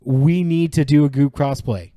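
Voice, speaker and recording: A man co-hosting a podcast with excitable, joking, slangy banter and casual swearing. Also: a little high, normal speed, dry recording, clean studio sound. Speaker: podcast co-host.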